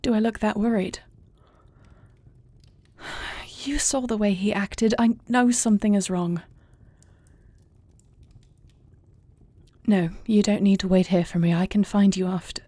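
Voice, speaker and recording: A woman playing an earnest young princess and heir in an intimate audio roleplay, soft-spoken and formal in her phrasing. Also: medium pitch, steady tone, brisk, dry recording, natural voice. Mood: anxious, pleading. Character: earnest young princess and warrior heir, anxious yet tender.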